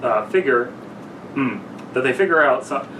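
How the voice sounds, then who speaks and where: A young man, tipsy and halting, chatting casually with friends and stumbling as he reads a story aloud. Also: medium pitch, expressive. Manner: halting, stumbles over reading. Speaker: podcast co-host reading a story aloud. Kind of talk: casual banter and story reading among friends. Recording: echoey noisy room, far from mic.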